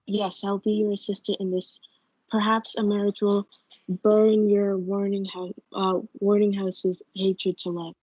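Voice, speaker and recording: A woman's voice: medium pitch, flat delivery, measured pace, a little mellow, dry recording, natural voice. A young woman reading a cautious, fatherly friar in amateur reader's theatre. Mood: solemn. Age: teenager. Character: cautious fatherly male friar giving counsel. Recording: dull lo-fi audio.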